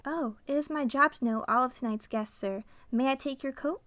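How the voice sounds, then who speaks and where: A woman acts out a composed young servant in a radio play. Her voice is fairly high, with a courteous, formal delivery. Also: normal speed, fairly bright tone, faint music behind. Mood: polite. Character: polite, composed young maid of an eerie manor. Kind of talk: radio drama acting.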